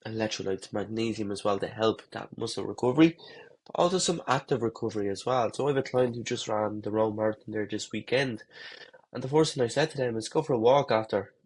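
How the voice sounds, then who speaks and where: Man, congested from a cold, husky, low-pitched, rambling coaching talk. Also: fast talker, low-quality recording. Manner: relaxed, rambling, fillers and restarts. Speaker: running coach. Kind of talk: casual talk-to-camera lesson.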